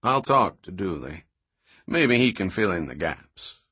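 A man's voice: sits low, big pitch swings, a little slow, pushed and bright, husky, no echo, exaggerated voice. A man voicing a curious, forthright female marine biologist in an audiobook, asking plain, direct questions. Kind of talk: audiobook dialogue reading.

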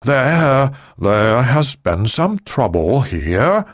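A man's voice: medium pitch, very animated, slow pace, pitched above the performer's own voice. A man voicing a harried, uneasy official under pressure in an audiobook story. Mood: nervous, deferential. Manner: clipped, hesitant, stammering under pressure. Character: harried male official, curt to staff, deferential to superiors.

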